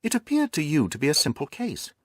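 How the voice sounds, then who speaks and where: A man voicing an incisive, composed gentleman detective in an audiobook, questioning and reasoning with precise emphasis. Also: a little high, wildly swooping pitch, a little fast, no echo, voice pushed up, exaggerated voice. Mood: emphatic, reasoning. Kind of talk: audiobook character acting.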